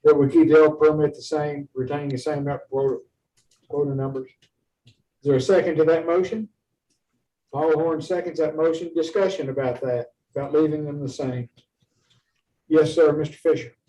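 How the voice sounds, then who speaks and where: A man's voice: fairly high pitch, fairly even intonation, moderate pace, long pauses, mellow. A man acting as chairman, running a formal meeting with procedural questions and remarks. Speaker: commission chairman. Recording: distant mic, faint noise.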